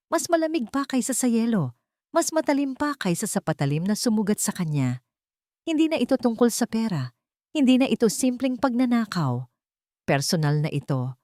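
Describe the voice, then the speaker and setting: A woman narrates a dramatic story from a script. Her voice is on the low side, with emphatic, suspenseful phrasing. The recording is close and dry, with little room sound. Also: mellow, no echo.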